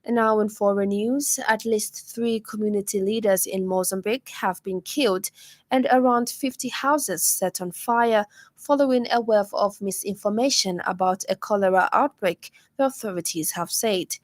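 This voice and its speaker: Female Zambian news reader, medium pitch, scripted bulletin delivery, very dry acoustic. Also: moderate pace, no room sound.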